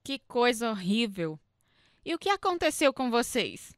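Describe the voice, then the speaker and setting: An actress with a Brazilian accent playing an eager, emotional long-lost younger sister in a radio drama. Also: female voice, on the high side, big pitch swings, measured pace, dry recording, studio quality. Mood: shocked concern.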